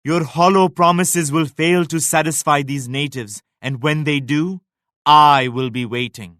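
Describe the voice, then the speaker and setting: An actor playing a proud, pious Mughal emperor in a video game, making grave, measured and lofty pronouncements. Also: male voice, a little high, a bit bright, dry recording, studio quality. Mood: solemn menace. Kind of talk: video game character voice lines, formal declamation.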